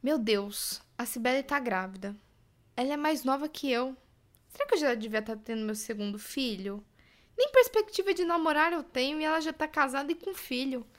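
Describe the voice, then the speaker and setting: A woman's voice: high voice, animated, moderate pace. An actress playing an anxious young single woman with a Brazilian accent, rambling through catastrophic self-questioning in an audio drama. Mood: anxious brooding. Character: anxious, spiralling young single woman doubting her life choices.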